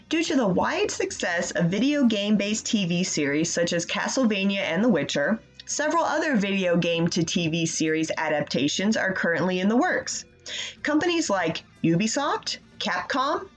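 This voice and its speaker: A woman presenting scripted video game news as a regular segment contributor on a casual show. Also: mid-range voice.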